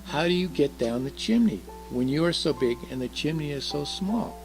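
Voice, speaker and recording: A man voicing an excited, wide-eyed little girl full of eager questions, reading from a storybook. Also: a little high, moderate pace, creaky, over music, voice pushed up, low-quality recording. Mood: curious.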